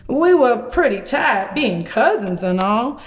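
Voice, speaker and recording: Woman playing a slow, folksy puppet prophet man. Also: on the high side, big pitch swings. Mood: folksy reminiscing. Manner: folksy, theatrical, drawn-out delivery. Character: folksy puppet John the Baptist, excitable male storyteller. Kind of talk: children's puppet show dialogue.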